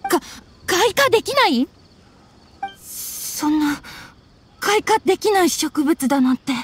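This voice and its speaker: A woman's voice: very high-pitched, sing-song, takes it slowly, full character voice. A woman voicing an earnest, polite young woman in a Japanese anime game, grieving and formal in speech. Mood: shocked, hurt. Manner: polite, soft-spoken formal phrasing. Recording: studio voice over game music.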